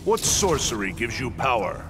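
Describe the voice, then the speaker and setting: A man's voice: mid-range voice, very animated, normal speed, lightly acted. A man voicing a grave, righteous thunder god in a video game, formal and declamatory. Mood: solemn. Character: solemn, righteous thunder god guarding the realm. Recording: loud music behind studio game voice.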